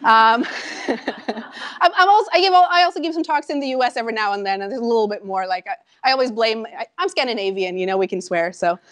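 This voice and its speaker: A woman's voice: on the high side, fast talker. Chatty Norwegian woman lecturing. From Norway. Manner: animated, chatty, frequent ums and asides. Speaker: startup founder giving a lecture. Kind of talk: conversational public talk. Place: lecture room with an audience.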